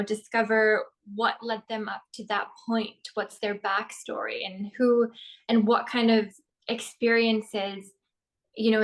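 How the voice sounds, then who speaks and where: Measured actress, flat tone. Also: female voice, medium pitch, poor audio. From Norway. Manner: thoughtful and measured, with frequent ums. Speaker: actress, interview guest. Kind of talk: casual interview answers.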